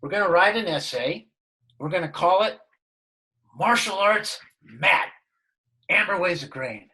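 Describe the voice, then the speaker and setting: A man's voice: high-pitched, lively intonation, unhurried, pushed and bright, breathy edge, heavily acted. A man reading the part of an earnest, goofy suburban dad at a sitcom table read.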